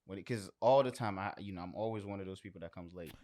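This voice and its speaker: Low-voiced man in casual chat. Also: fast talker, darkish tone. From Suriname or abroad, later moved away. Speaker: podcast guest.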